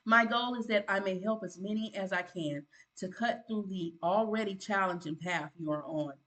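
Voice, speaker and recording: A woman's voice: mid-range voice, normal speed, fairly bright tone, rough recording. A woman, a content creator giving earnest motivational advice in a preachy, emphatic way, often repeating herself and restarting. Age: 50s.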